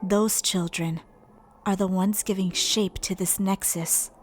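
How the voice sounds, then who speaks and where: A woman voicing a composed, solemn dead schoolgirl in a game dub, speaking in a measured, formal way. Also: medium pitch, steady tone, a little hiss or hum, close to an everyday voice. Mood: grave. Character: solemn dead high school paranormalist girl ghost. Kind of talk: anime game fan dub acting.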